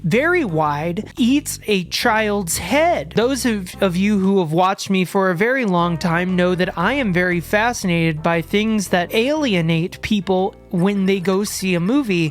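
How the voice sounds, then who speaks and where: A man hosting a horror video, talking excitedly with stammered restarts and sudden outbursts as he loses his voice. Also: sits high. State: losing his voice. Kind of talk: enthusiastic solo video commentary.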